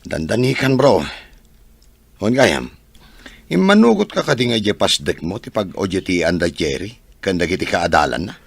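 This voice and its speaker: A man plays a probing, teasing boss in an audio drama. His voice is low and gravelly, and it moves in wide, lively swings. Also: normal speed, long pauses, dry recording, full character voice.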